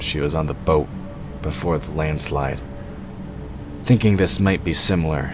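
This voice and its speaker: A man reading scripted narration for a fantasy audio drama. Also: rumbling low. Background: forest ambience sound effects.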